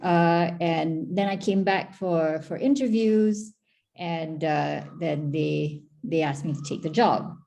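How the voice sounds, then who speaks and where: A woman's voice: low-pitched, takes it slowly, creaky, warm tone. A middle-aged Malaysian woman answering interview questions in a chatty, talkative way, with frequent restarts and fillers. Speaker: guest, former tech agency chief.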